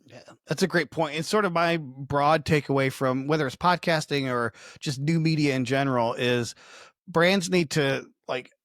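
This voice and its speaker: A man's voice: on the high side, moderate pace, a bit bright, no room sound, clean studio sound. A man co-hosting a podcast, offering conversational commentary on the discussion. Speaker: podcast co-host.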